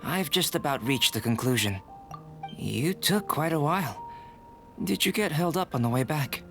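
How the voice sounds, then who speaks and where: A man playing a calm young alchemist scholar in an anime-style video game, speaking in a measured, formal, reflective way. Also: on the high side, creaky, music in the background. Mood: composed.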